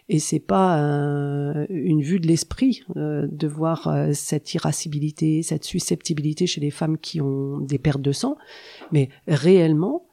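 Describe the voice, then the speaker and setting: A middle-aged woman, a practitioner of traditional Chinese medicine, explaining things in a calm, teacherly way with thoughtful pauses. She is slightly congested from a cold. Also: low voice, creaky. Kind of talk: explanatory interview talk.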